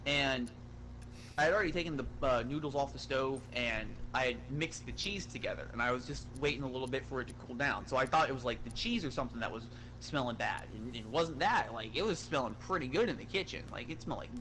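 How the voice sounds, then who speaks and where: A man telling a story in an animated, casual way, swearing and sounding exasperated as he goes. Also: mid-range voice, fast talker, slight background noise, poor audio. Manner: profane, exasperated, uses acted-out dialogue.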